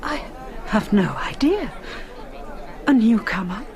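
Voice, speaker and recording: A woman's voice: fairly high pitch, animated, takes it slowly, some chatter behind. An actress playing an inquisitive, prim and disbelieving lady in a radio drama. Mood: curious, knowing.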